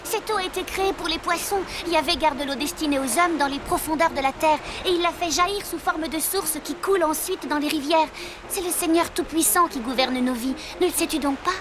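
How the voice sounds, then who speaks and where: A woman playing a devout, instructive woman of biblical times in a dubbed animated Bible story. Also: high-pitched, a little fast, noisy background, natural voice. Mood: earnest, admonishing.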